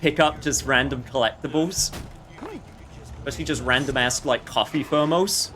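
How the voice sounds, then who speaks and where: A man's voice: medium pitch, moderate pace, very noisy. A man livestreaming a video game with casual commentary, chatting to viewers in a rambling way with jokey asides.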